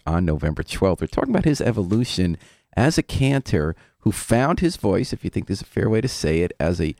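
A man's voice: low-pitched, a little mellow, no room sound, studio quality. A man hosting a radio interview show, asking chatty questions and cracking jokes. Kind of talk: casual interview.

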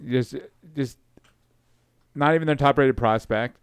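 A man's voice: mid-range voice, unhurried, smooth, dry recording, clean studio sound. A young adult man hosting a casual sports podcast, rambling and losing his train of thought. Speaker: podcast host.

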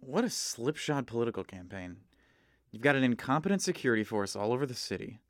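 A man playing a brooding kobold detective in a noir audio drama, wry and terse, with dramatic pauses. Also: medium pitch, animated, no room sound, studio quality, lightly acted. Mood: pondering. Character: brooding male kobold detective, hard-boiled noir sleuth.

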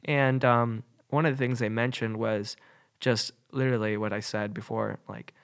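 A man's voice: mid-range voice, steady tone, normal speed. A young man co-hosting a podcast, talking in a loose, rambling way with lots of fillers, a little nasal from a cold. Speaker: podcast co-host. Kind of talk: casual podcast chat.

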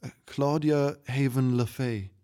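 Man playing a young witch girl, raised pitch, polite, hesitant, earnest, storybook. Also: fairly even intonation, a little slow, mellow, clear, resonant tone, close to an everyday voice. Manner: hesitant, pleading, courteous.

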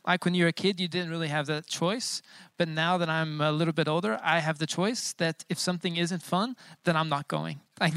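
A pastor in his thirties preaches in an easygoing, joking way, often pausing on "uh" as he finds his words. His voice has a gravelly edge over a smooth, resonant tone. The recording is close and dry. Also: high-pitched, a bit bright, no echo. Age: 30s. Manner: casual and joking, many filler sounds. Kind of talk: informal bilingual sermon.

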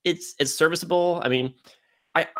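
A man hosting a casual film discussion, speaking haltingly and often repeating words as he works toward his point. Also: fairly high pitch, bright, forceful tone. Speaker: film podcast host.

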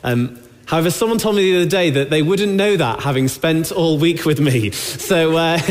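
A man preaching a sermon to his church, with a sore throat, speaking in an earnest, measured way that drops low on key lines. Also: in a large hall. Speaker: pastor. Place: church hall.

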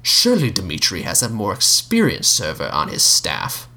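A man voicing a suspicious, pompous older man who asks slow, drawn-out demanding questions. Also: low voice, huge pitch swings, vocal fry, sharp tone, full character voice.

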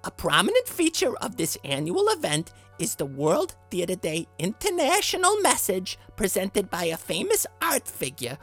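A man's voice: high-pitched, wildly swooping pitch, normal speed, hardly any pauses, fairly bright tone, faint music behind, heavily acted. A man playing an earnest, theatrical middle-aged dad presenting a podcast segment in a dramatic, effusive way.

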